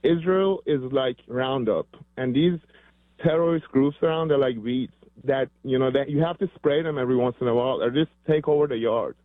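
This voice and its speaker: A man's voice: mid-range voice, moderate pace, no room sound. A man who grew up in Iran, calling into a radio show, fervent and earnest. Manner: earnest, fervent, uses comparisons. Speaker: caller. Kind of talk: phone-in call. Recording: dry phone line.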